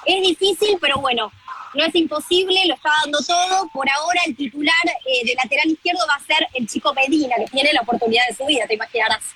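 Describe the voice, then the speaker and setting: An Argentine woman reporting live from the tournament in a brisk, informative way, with frequent "eh" fillers. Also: sits high, pushed and bright, a little hiss or hum, rough recording. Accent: Argentine Spanish.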